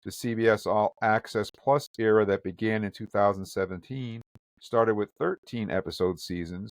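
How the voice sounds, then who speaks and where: A man's voice: lowish voice, fairly even intonation, normal speed, dry recording. A man hosting a fan podcast, chatting casually and reading news items aloud. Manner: chatty, reads articles aloud with quotes. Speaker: podcast host.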